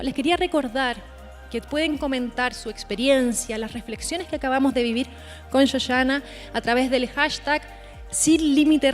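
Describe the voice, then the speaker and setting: A woman hosting a live conference, announcing speakers and addressing the audience. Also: fairly high pitch. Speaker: event host. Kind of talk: live event presenting. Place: large conference hall.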